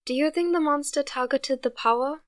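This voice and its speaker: A woman's voice: on the high side, normal speed, a bit bright. A woman voicing an anxious young character who speaks urgently and pleads.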